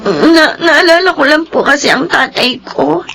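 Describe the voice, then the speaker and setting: High-voiced, meek, devoted young daughter. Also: female voice, expressive, measured pace, gravelly, sharp tone, full character voice. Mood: tearful, sad. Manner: polite, emotional, pleading. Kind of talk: Filipino radio drama acting.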